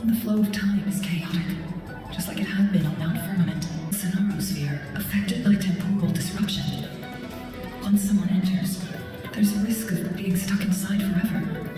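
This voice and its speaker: A woman playing a calm, thoughtful strategist in a video game's inner monologue, deliberate and formal, with heavy reverb. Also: mid-range voice, recorded from a distance. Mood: pensive, wary. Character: composed, thoughtful grown woman strategist, powerful fire-wielder. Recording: long cavernous reverb, music behind.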